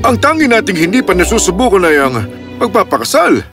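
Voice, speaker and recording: A man's voice: sits high, big pitch swings, fairly quick, music in the background. Worried storybook king. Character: concerned, doting king father. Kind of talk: animated children's story acting.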